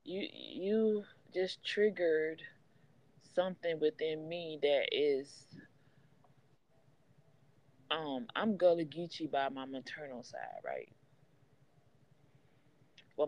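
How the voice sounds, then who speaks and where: A woman from the United States, co-hosting a podcast, speaks in a heartfelt, halting way. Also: deep voice. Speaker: podcast co-host. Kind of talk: casual conversation. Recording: dry, dull lo-fi audio.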